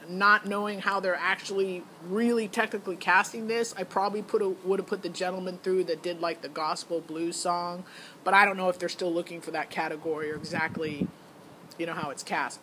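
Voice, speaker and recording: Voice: medium pitch, pushed and bright, a little hiss or hum, rough recording. A middle-aged parent giving a casual, candid spoken recap that rambles and quotes past conversations. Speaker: parent reviewing a child's talent show audition.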